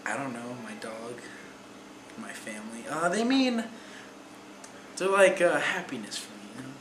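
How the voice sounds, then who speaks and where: A man's voice: medium pitch, animated, normal speed. A teenage male theater student giving casual, hesitant, informal interview answers. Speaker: high school theater student. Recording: echoey room, clearly noisy.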